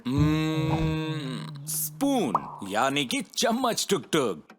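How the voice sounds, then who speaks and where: A man voicing a friendly, thoughtful male reindeer in a children's cartoon, who ponders aloud with drawn-out hums. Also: on the high side, lively intonation, background noise. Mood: pondering, puzzled.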